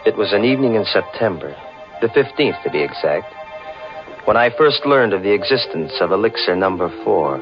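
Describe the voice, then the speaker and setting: An actor playing a furtively curious young man, telling his eerie tale in measured, suspenseful 1940s radio mystery narration. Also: lowish voice, expressive. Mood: grave, suspenseful. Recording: muffled old radio broadcast, music behind.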